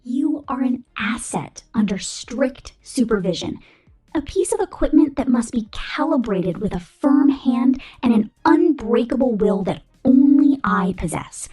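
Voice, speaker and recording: A woman voicing a vain, domineering female robot corporate boss who scorns humans, in a condescending, menacing ASMR roleplay with a whispery, deliberate delivery. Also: on the high side, animated, mellow, faint music behind, poor audio. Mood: cold, menacing. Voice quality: breathy, whispery. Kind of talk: ASMR roleplay monologue, scripted.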